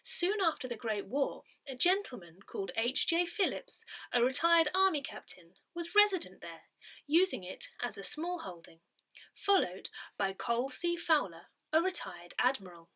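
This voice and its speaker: A woman narrates written articles aloud as a newsletter reader. Her voice sits a little high and has a bright edge. Her intonation moves in a lively, animated way through the scripted text. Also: moderate pace.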